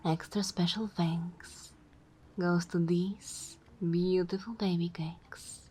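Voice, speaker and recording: A woman hosting an ASMR channel, speaking softly and gently in a breathy half-whisper. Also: fairly low pitch, steady tone, slow pace, slight background noise. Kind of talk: soft-spoken ASMR outro.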